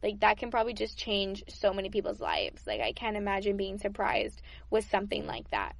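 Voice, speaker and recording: Young woman hosting a podcast, chatty and upbeat, lots of vocal fry, dry mic. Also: medium pitch, very animated, brisk, no echo. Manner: chatty and upbeat, laughs often, repeats words. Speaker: podcast host. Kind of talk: casual good-news chat.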